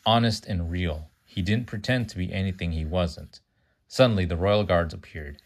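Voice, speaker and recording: A man with a low-pitched voice reads a storybook aloud for a child. He sometimes trips on a word and fixes it, and he slips in casual asides about the story. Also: normal speed. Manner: stumbles over words and corrects himself.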